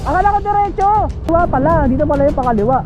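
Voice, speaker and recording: A woman's voice: high voice, lively intonation, rapid-fire, background noise. A woman with a Filipino accent in casual skit banter as a rider chatting with a friend. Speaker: motorcycle rider in a product skit.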